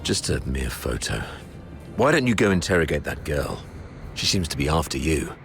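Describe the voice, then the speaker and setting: A man playing a weary, wounded officer who talks in a low, tired, dismissive way. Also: very animated, normal speed, creaky, fairly bright tone, full character voice. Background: faint music.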